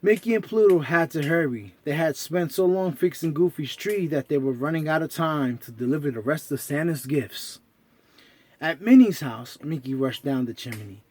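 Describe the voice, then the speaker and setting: Higher-voiced man telling a children's story. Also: expressive, normal speed, darkish tone. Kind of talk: expressive children's story narration.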